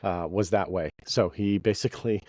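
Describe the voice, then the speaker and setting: Low-voiced man with a slight cold. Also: fairly even intonation, moderate pace, warm tone, no room sound, clean studio sound. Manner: conversational, many fillers, anecdotal. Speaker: podcast host, bass player. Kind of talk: advice talk to musicians.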